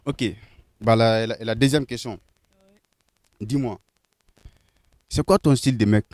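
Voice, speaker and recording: A man's voice: medium pitch, a little mellow. A young man runs a playful street interview, firing off teasing questions one after another. His voice sits in an ordinary middle range. He speaks into a handheld mic outdoors in a city square. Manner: teasing, asks quick-fire questions.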